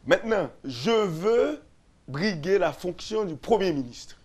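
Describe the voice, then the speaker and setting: A man, a lawyer and radio guest, explaining constitutional articles slowly, repeating and restarting phrases. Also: high-pitched, animated, darkish tone. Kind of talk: explaining constitutional law on a radio talk show.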